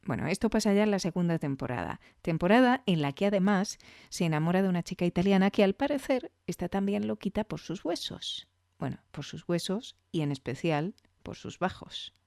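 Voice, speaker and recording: A woman's voice: lowish voice, expressive, brisk, a little mellow, no room sound. Female podcast host, Castilian accent from Spain, chatty reviewer delivery, very dry sound. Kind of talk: conversational podcast commentary.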